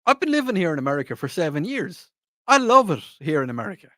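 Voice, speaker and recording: A man's voice: high voice, sing-song, quick pace, pushed and bright, no echo, studio quality. An Irish man hosting a talk radio show, rambling and repeating himself with a mocking tone and frequent laughs. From Ireland. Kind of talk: casual talk radio monologue.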